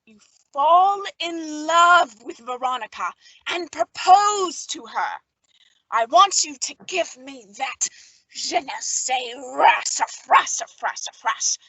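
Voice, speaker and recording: Woman as a pompous, high-pitched babbling film director. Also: lively intonation, slow, bright, forceful tone, no room sound, full character voice, low-quality recording. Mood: pompous instructing. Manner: pompous, sputtering repeated nonsense. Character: pompous, huffy famous male film director who babbles. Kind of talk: old-time radio comedy acting.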